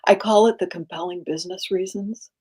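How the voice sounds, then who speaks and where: A middle-aged woman executive coach with a soft, breathy, laid-back voice, speaking calmly in an interview. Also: mid-range voice. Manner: calm, unhurried, laid-back. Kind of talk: conversational interview.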